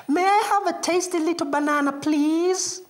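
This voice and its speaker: A woman voicing a sweet, small baby girl elephant, in a high, soft, tearful little voice. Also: moderate pace.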